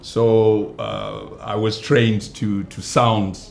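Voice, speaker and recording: A man, a pastor and actor, answering interview questions in a measured, hesitant way with many fillers. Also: fairly low pitch, little pitch movement. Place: studio. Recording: echoey studio with faint noise.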